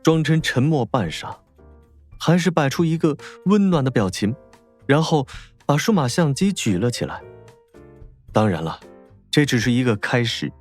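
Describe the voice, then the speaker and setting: A man's voice: medium pitch, very animated, normal speed, pauses often. A man narrating a novel as a scripted, dramatic audiobook. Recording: faint music behind.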